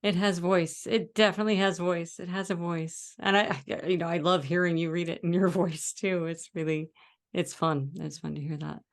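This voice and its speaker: Low-pitched woman, effusively encouraging. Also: steady tone, normal speed. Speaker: writer listening and giving feedback. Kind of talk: casual, encouraging chat.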